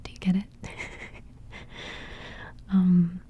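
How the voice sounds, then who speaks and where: A young woman whispering a relaxed music reaction in ASMR style, giggling softly and rambling with plenty of fillers. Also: fairly low pitch, extremely slow, lo-fi sound, a little hiss or hum. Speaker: ASMR creator reviewing music.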